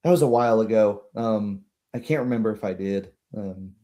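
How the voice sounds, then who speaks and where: A man's voice: lowish voice, normal speed, mellow, natural voice. A man voicing a forgetful old female gnome who rambles hesitantly and apologizes in a tabletop game. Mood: flustered, apologetic. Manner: hesitant, rambling, self-deprecating.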